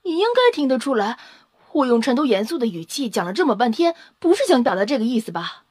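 Woman acting a mellow, solemn half-blood beauty. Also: on the high side, big pitch swings, slightly rough, no echo, studio quality. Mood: reproachful. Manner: solemn, measured lecturing. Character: dark-haired half-blood alien beauty, solemn, wistful expounder. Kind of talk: Chinese audio drama acting. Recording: music under the voice. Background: background music.

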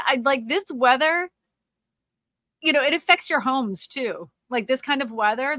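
A woman's voice: on the high side, sharp tone, no echo. A woman from Louisiana hosting a casual livestream about the housing market, with a slightly nasal voice and a rambling way of talking full of fillers. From Louisiana, USA. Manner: chatty and rambling, with frequent fillers and restarts. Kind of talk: casual livestream chat reading comments.

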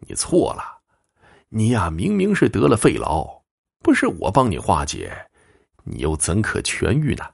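A man's voice: lowish voice, wildly swooping pitch, a little slow, creaky, slightly rough, no echo, clean studio sound, full character voice. A man voicing a greedy, sly fortune-teller in a storybook tale, cajoling and self-important. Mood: scheming.

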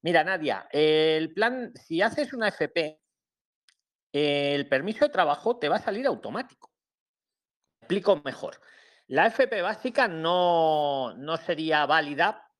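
A man from Spain with a Madrid accent, hosting a live online chat and explaining things clearly, often checking in with "¿vale?". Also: a little high, lively intonation, measured pace. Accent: Spanish, Madrid. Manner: friendly, explanatory, emphatic, with frequent "¿vale?". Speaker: podcast host.

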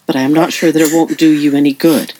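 A woman acting a patient, loving but firm middle-aged mother in an audio play, measured and gently admonishing. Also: sits low, steady tone, a crowd in the background, close to an everyday voice, rough recording. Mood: calm, firm.

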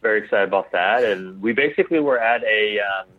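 A man talking casually as an interview guest, often pausing to search for his words over a call. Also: fairly low pitch, no echo. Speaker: guest, founder of a geek apparel company. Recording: thin phone-like call audio.

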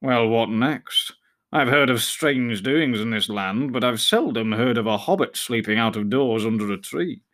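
A man reading aloud, voicing a curious male fox who mutters slowly to himself. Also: medium pitch, fairly bright tone, close to an everyday voice. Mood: puzzled. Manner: slow, wondering, muttered to himself. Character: sly curious male fox, musing in the woods.

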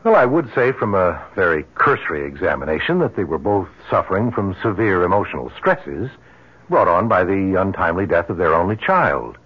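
An actor playing a formal, detached physician in an old radio drama, speaking precisely. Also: male voice, deep voice, sing-song, brisk, breathy edge, full character voice. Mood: measured, clinical. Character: dry, measured, clinical physician. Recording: dull old radio recording.

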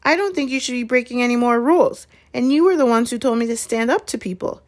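A woman's voice: on the high side, quick pace, pitched above the performer's own voice, natural voice. A woman voicing an anxious, earnest schoolboy in a storybook who stubbornly stands his ground. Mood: defiant, nervous. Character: timid, clumsy schoolboy nervously standing up to friends.

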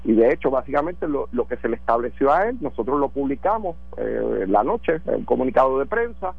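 A man's voice: mid-range voice, animated, brisk, creaky, slightly rough, no room sound. A man, a government transportation secretary explaining policy on a radio phone interview, with many fillers. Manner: explanatory, full of verbal fillers. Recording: tinny dry phone line.

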